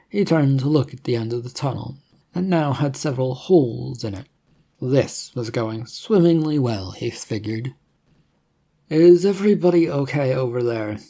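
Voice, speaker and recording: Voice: medium pitch, warm tone, breathy edge. A narrator reading a scripted adventure story in the manner of an audiobook.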